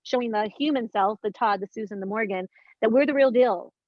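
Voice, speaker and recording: A woman's voice: fairly high pitch, fairly quick, no room sound. Earnest fifty-year-old woman, muffled dry recording. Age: 50s. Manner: earnest, searching, circling back on her words. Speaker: guest. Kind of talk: casual spiritual conversation.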